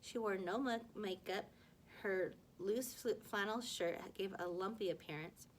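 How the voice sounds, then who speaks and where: A woman reading a children's novel aloud like a teacher to a class, with animated dialogue and the odd stumble. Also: mid-range voice, measured pace, a little hiss or hum. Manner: animated dialogue, stumbles over words and corrects herself.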